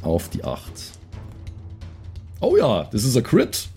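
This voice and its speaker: A man's voice: mid-range voice, huge pitch swings, slow, mellow, slightly rough, faint music behind. A man chatting casually as a player in a tabletop role-playing game.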